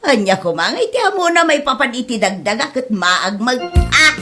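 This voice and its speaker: A woman playing a greedy, combative older mother in a comedy drama, scolding in a shrill voice. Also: very animated, moderate pace, bright, forceful tone, soft background music. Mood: scolding, dismissive. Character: hot-tempered greedy older mother feuding over land. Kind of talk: Ilocano comedy drama acting.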